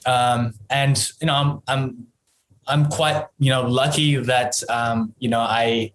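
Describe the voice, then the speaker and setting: A man in his thirties with an Australian accent, a podcast guest telling his story in a relaxed, rambling way, full of ums and you-knows. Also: mid-range voice, slow pace, poor audio. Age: 30s. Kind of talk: casual interview chat.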